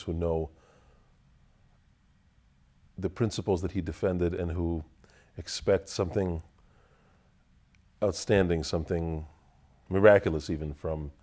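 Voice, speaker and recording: A man whose first language is Russian, answering interview questions in a measured, deliberate way, pausing to find his words. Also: low-pitched, mellow. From Russian family, raised in the United States. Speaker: interview guest. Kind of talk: thoughtful conversational answers.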